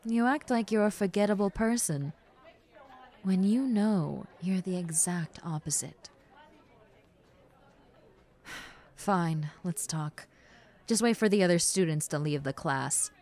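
A woman acting a tender, regretful young substitute teacher in an intimate roleplay monologue, speaking hesitantly with soft sighs on a close mic. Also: fairly low pitch, expressive, slow pace, long pauses, some chatter behind, heavily acted. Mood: composed, guarded. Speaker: roleplay audio voice actress. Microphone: close studio mic, dry.

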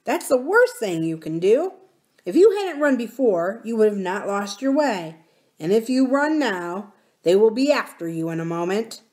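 A woman's voice: mid-range voice, sing-song, moderate pace, darkish tone, voice pushed up, natural voice. Woman voicing a bold, cheerful young miner boy. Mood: matter-of-fact, bold. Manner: plain-spoken, matter-of-fact, self-assured. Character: confident cheerful twelve-year-old miner boy, blunt and fearless.

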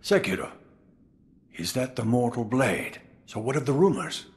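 An actor playing a brooding old man in a video game, deliberate, weighty and questioning. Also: medium pitch, animated, close to an everyday voice. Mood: brooding, probing. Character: old man, brooding, probing mentor to a warrior.

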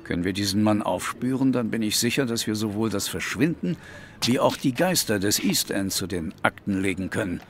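An actor playing an earnest, dutiful police inspector in a German audio drama, formal and matter-of-fact. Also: male voice, fairly low pitch, lively intonation, brisk, slight background noise, lightly acted.

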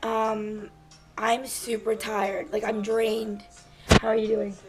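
A teenage girl vlogging casually at arm's length, hoarse from losing her voice, bubbly and excitable. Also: mid-range voice, slow, faint music behind, low-quality recording. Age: teens. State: hoarse, lost voice. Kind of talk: casual vlog chat. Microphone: handheld camera at arm's length.

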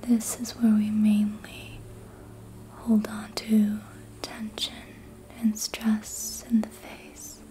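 A woman whispering a guided relaxation in ASMR style, soothing and hushed, in short unhurried phrases. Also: medium pitch, monotone. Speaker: ASMR host guiding relaxation during a facial massage.

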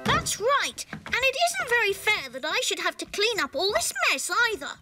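A woman's voice: extremely high pitch, sing-song, normal speed. A woman voicing a cheeky, playful little tomcat who argues like a child, in a cartoon. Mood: cheeky, defiant. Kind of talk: cartoon voice acting. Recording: music under the voice.

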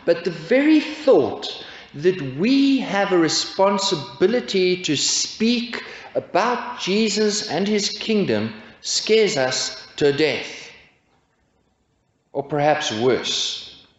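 A man in his forties preaching a sermon from a pulpit, asking his congregation rhetorical questions in a measured way. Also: sits high, animated. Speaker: pastor. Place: church, indoors at a pulpit. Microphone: pulpit stand mic. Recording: echoey church, dull lo-fi sound.

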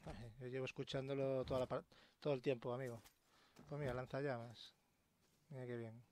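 A man with a Castilian Spanish accent chats his way through a horror game. He is colloquial and swears freely, addressing characters as if they could hear him. Clear game ambience sits behind his mid-pitched voice. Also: flat delivery, fairly quick, a little mellow, slight background noise. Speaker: let's-play host. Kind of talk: casual gameplay commentary. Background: sci-fi horror game ambience.

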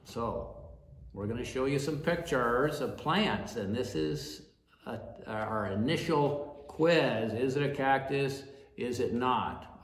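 An older man in a small office gives a casual plant class and talks straight to the camera from across the desk. His voice sits at a middle pitch and keeps checking in with "okay?" as he goes. The room adds a clear echo. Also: fairly bright tone. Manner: chatty, checks in with "all right?" and "okay?" often. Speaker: plant educator. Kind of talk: informal botany class.

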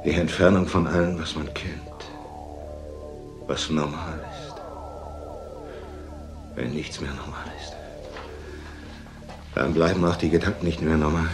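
An actor playing a haunted, withdrawn ex-convict in a crime radio drama, speaking haltingly in short, broken phrases. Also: male voice, a bass voice, steady tone. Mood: bitter, brooding. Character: haunted ex-convict confessing dark past, withdrawn. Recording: music under the dialogue.

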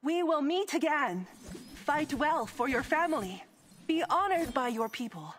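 Honorable young female warrior, game battle lines, high animated voice, proud and declarative, unhurried. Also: slight background noise. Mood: proud, earnest. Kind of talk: video game character voice lines.

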